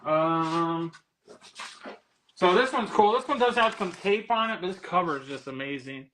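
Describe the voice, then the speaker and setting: Man, high-pitched, bright tone, casual seller patter, easygoing, faint background hiss. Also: expressive. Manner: easygoing, quick with prices, laughs often. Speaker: comic book seller on a live sale. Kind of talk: casual live sales chat.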